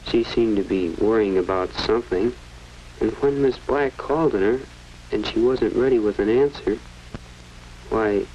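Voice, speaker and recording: An actor playing an earnest, self-questioning American teenage schoolboy in a polite, musing 1940s educational film style. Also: male voice, medium pitch, warm tone. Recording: muffled, noisy 1940s film soundtrack.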